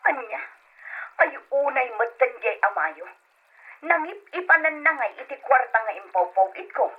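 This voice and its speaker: A thin, phone-like line carries an earnest, concerned mother in an old radio play. Her voice is somewhat high, with a breathy roughness. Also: very animated, moderate pace, exaggerated voice. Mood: dismayed. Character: concerned mother working away from home. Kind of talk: old radio drama acting.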